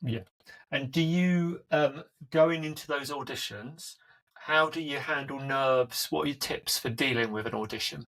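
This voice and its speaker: A man's voice: medium pitch, a little slow, fairly bright tone, low-quality recording. A man hosting a podcast, asking long, winding interview questions in a friendly, conversational way. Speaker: podcast host.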